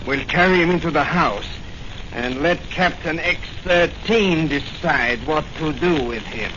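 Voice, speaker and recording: Man acting a higher-voiced henchman, noisy old radio. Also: measured pace, highs cut off, close to an everyday voice. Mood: smug. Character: smug, rough male gangster henchman. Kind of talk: 1940s radio serial acting.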